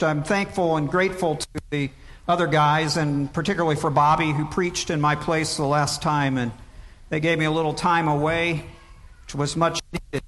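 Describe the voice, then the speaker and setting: An older man, a pastor, preaching a sermon and telling a story in an earnest, gentle way. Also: fairly high pitch. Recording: reverberant church sanctuary.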